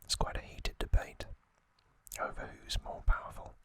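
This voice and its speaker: A man whispering slowly and deliberately in breathy, hushed short phrases, as an ASMR host narrating trivia.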